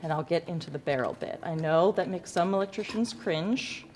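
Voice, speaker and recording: A woman's voice: lowish voice, moderate pace, a little mellow. A woman teaching a hands-on demonstration to students in an informal, self-correcting, good-humored way, as an instructor. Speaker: lighting design instructor. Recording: echoey reverberant hall, background noise.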